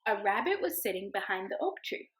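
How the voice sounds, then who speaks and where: A young woman teacher reading a picture book aloud to her class in a gentle storytelling voice, calling the animals in a sing-song tone. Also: medium pitch, sing-song, fairly quick. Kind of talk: children's read-aloud.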